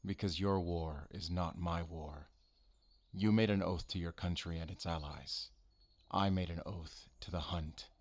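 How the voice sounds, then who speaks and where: Audio roleplay lead: man as brooding male werewolf hunter, low voice, halting and solemn, guarded yet intimate. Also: steady tone, darkish tone. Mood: hesitant, regretful. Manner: halting, measured, solemn, intimate address to listener. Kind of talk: cinematic audio roleplay acting.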